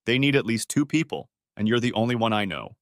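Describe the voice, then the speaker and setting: A man's voice: medium pitch, lively intonation, quick pace, a bit bright, no room sound, studio quality, natural voice. A man voicing a friendly, forward divorced woman of forty-five in a narrated story. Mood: inviting.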